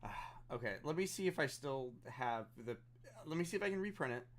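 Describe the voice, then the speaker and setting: A man playing a tabletop game, bantering in character casually and comically, often thinking aloud in halting phrases. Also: medium pitch, animated.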